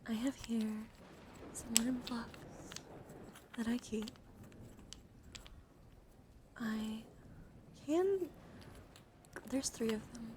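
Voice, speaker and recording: A woman's voice: on the high side, extremely slow, pauses often. Whispering ASMR woman, noisy room. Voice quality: breathy whispering. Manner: soothing, encouraging, intimate. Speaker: live ASMR streamer. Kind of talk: ASMR, whispered and softly spoken reassurance. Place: small warm room with computers running. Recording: noisy room ambience behind voice.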